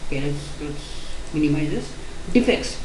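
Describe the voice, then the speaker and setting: A woman with an Indian accent teaching an online software testing course, explaining quickly and repeating points with frequent restarts. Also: deep voice, expressive, a little hiss or hum. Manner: repetitive, restarts often, fast-paced explaining. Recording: echoey room.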